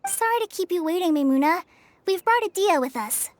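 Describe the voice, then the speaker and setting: A woman voicing a tiny, excitable, childlike fairy companion in a video game, chirpy and blunt. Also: very high-pitched, fairly quick, no echo. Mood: matter-of-fact. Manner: speaks of herself in third person, exclamatory.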